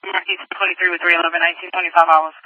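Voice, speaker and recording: A woman's voice: lowish voice, flat delivery, rapid-fire, no room sound. A woman working as a police dispatcher, speaking in clipped, procedural codes and times over a police radio. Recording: dull lo-fi police scanner radio audio.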